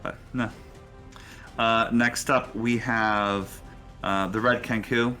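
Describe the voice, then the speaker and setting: A man narrating a tabletop role-playing game as game master, pausing hesitantly mid-sentence. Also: on the low side, fairly even intonation, bright, forceful tone. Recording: faint background music.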